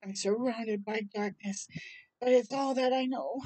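An older woman hosting a children's storytime, reading a rhyming picture book aloud in a dramatic, deliberate way with brief asides. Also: on the high side, mellow, low-quality recording. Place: outdoors on a porch.

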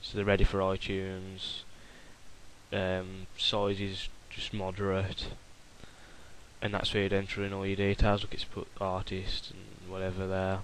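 A young man casually talking through a software tutorial while recording his screen, rambling with fillers and restarts. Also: low voice, completely flat delivery, measured pace, slight background noise, low-quality recording.

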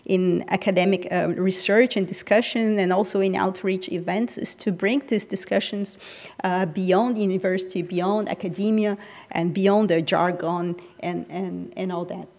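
A woman's voice: lowish voice, steady tone, moderate pace, a little mellow. A woman academic speaking unscripted on a panel, reflective and hesitant, into a handheld microphone. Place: large hall, seated on panel stage. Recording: reverberant hall, handheld mic.

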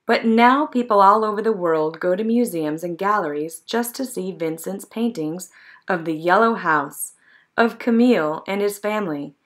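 A woman's voice: medium pitch, normal speed. Woman reading a children's storybook aloud. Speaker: storybook reader. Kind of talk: scripted narration, read-aloud of a children's picture book.

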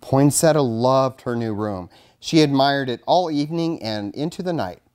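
A man's voice: medium pitch, expressive, normal speed, a little mellow, clean tone. A middle-aged man with an American accent, a fire chief reading a children's storybook aloud and talking to kids. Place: indoors in front of a curtain backdrop, studio-like setup.

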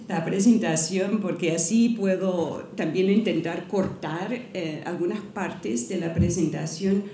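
A middle-aged woman, not a native speaker, giving a careful, halting academic lecture at a podium, partly read aloud, with many restarts. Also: fairly low pitch, mellow, slight background noise. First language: not Spanish. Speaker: guest lecturer. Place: university lecture hall, at a podium. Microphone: podium microphone. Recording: echoey hall, mic from a distance.

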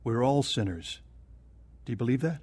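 An actor playing a kindly, earnest pastor counseling from scripture in a radio drama. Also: male voice, medium pitch, expressive, normal speed, a little mellow, breathy edge, no echo. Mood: earnest, instructive.

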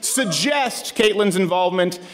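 Man in his thirties, high-pitched, mock-newsreader host, sarcastic asides, live stage, desk mic. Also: lively intonation, measured pace. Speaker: comedy show host. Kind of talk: live comedic news desk. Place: stage in a university auditorium. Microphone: desk studio mic.

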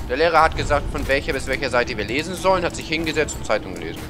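A man's voice: medium pitch, expressive, very fast. A man chatting with a friend during a co-op online game, goofy and teasing, full of questions. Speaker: co-op gaming partner. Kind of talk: casual co-op gaming chat. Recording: game music and gunfire behind the voice.

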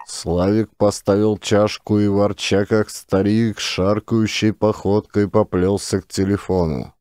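A man's voice: on the low side, level tone, a little slow, a little mellow, dry recording, clean studio sound. A man narrating a scripted comic story as an actor-reader. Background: music.

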